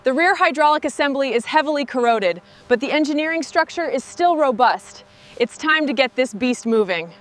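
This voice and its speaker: A woman's voice: high voice, lively intonation, normal speed, slight background noise. A woman hosting a vehicle restoration video, speaking short scripted commentary on the work as it goes.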